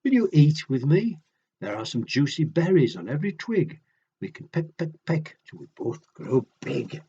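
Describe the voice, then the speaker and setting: A man's voice: on the high side, very animated, moderate pace, dark tone, breathy edge, heavily acted. An eager, friendly little bird from a picture book, voiced by a man, lilting through rhymed invitations. The delivery swings up and down, on a slightly dull recording. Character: small friendly birds eagerly inviting a companion.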